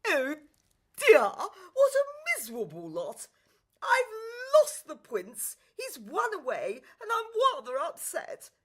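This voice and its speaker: A woman's voice: sits high, huge pitch swings, slow pace, pitched above the performer's own voice, full character voice. A woman playing a fretful, fussy servant of a prince in a radio play, lamenting theatrically. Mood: tearful fretting. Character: fretful, weepy servant of the prince, easily comforted by cake. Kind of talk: stage musical radio play acting.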